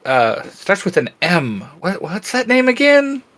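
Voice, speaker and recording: Higher-voiced man in casual banter. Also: wildly swooping pitch, unhurried, fairly bright tone. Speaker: co-host.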